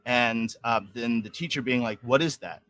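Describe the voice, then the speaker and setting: A man's voice: medium pitch, normal speed, a bit bright, no echo. An American man with a General American accent, a podcast guest telling stories in casual conversation, rambling with many restarts. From United States.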